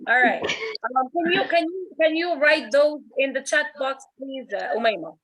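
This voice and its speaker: A woman's voice: high-pitched, moderate pace, a bit bright, low-quality recording. A woman leading an online writing class on a video call, encouraging her students, laughing often and repeating herself as she talks.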